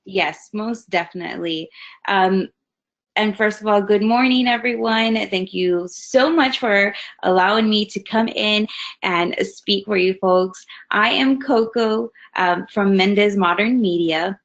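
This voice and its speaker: A woman's voice: medium pitch, unhurried. A woman in her thirties from Hawaii giving a friendly, conversational webinar talk as guest presenter, with plenty of fillers. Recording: slightly dull webinar audio.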